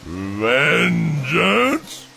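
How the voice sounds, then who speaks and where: A man voicing a proud, brooding exiled dragon in an animated film, speaking in a dry, grandiose, theatrical way. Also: fairly high pitch, drawn-out words, creaky, exaggerated voice. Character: banished male dragon, gruff, brooding, proud of his might.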